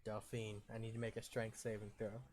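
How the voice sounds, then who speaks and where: A man's voice: on the low side, monotone, brisk. A man narrating a tabletop game as the game master, on noisy home audio. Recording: clearly noisy home online-call audio.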